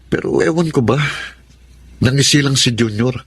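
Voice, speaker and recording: A partial, proud father, played by a man in a radio drama. His voice is mid-pitched and husky, with a conversational delivery. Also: moderate pace, close to an everyday voice. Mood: defensive. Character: father doting on one son, cold to the other. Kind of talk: Tagalog radio drama acting.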